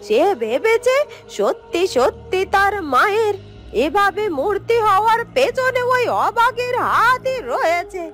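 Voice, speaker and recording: A woman's voice: very high voice, lively intonation, measured pace, music in the background. A woman playing a sly, gloating schemer in a cartoon fairy tale, smug and drawing out her words. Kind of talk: cartoon villain monologue.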